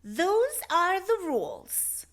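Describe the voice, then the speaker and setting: A woman's voice: extremely high pitch, huge pitch swings, takes it slowly, fairly bright tone, voice pushed up, heavily acted. A woman voicing a stern, commanding but fair female head librarian in a children's storybook.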